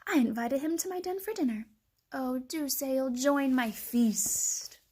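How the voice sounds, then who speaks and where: A woman voicing a sly, hungry male fox in a storybook, with oily false courtesy and drawn-out, purring words. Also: fairly high pitch, sing-song, a bit bright, slight background noise.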